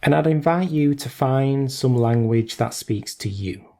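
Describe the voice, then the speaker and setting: A man leading a guided meditation in gentle instruction, unhurried, leaving long pauses between short phrases. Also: mid-range voice.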